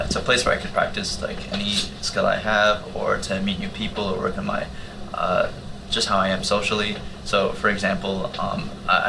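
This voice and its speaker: Casting: young male panelist, even gravelly delivery, earnest and halting, clear background noise. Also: fairly low pitch. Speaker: youth volunteer organizer. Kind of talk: casual panel talk.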